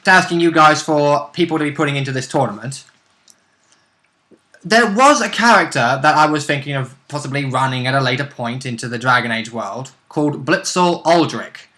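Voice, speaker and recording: A man's voice: medium pitch, lively intonation, normal speed, bright, forceful tone. A man co-hosting a tabletop role-play show, explaining in casual, rambling chat and stumbling over his words.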